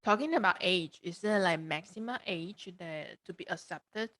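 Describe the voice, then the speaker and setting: A woman with a fairly low voice acts as host and interviewer. She speaks conversationally, building up her questions in loose, roundabout phrases. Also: moderate pace, pushed and bright. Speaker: host, interviewer, education agency regional manager. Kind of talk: conversational interviewing at an education fair talk.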